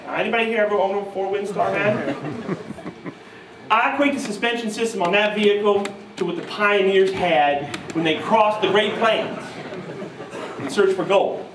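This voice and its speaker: A man in his thirties from New York state, telling animated, self-mocking stories to a live audience at a guitar clinic. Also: high voice, slight background noise. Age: 30s. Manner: animated, self-mocking, mimics voices in quotes. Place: music store clinic room, audience present. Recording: roomy live sound from far off.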